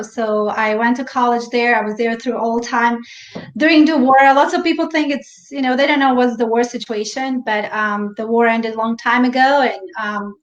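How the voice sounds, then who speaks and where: Bosnian-accented woman, echoey lo-fi call. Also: on the high side. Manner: warm, chatty, laughs easily, restarts sentences. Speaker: guest. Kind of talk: casual interview chat over a live stream. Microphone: video call. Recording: echoey, dull lo-fi live stream audio.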